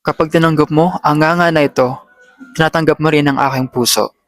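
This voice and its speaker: A man's voice: on the high side, fairly even intonation, fairly quick, a bit bright, slight background noise, close to an everyday voice. A man with a Filipino accent playing a brave, ardent young lover in an amateur radio folk drama. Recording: echoey room with faint music.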